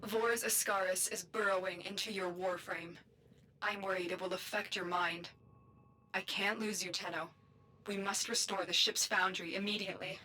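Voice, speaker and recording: An actress plays a protective female guide in a video game. Her voice is bright and measured, solemn and earnest, with faint noise behind it. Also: medium pitch, lightly acted, rough recording. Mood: worried, urgent.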